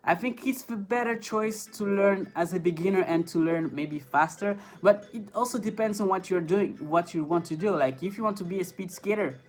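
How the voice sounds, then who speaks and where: A man's voice: high-pitched, moderate pace, few pauses, low-quality recording. A man with a French accent, a native French speaker, hosting a live stream where he reads chat questions aloud, hesitating with fillers and laughing often. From France. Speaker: inline skating streamer. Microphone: phone. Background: faint background music.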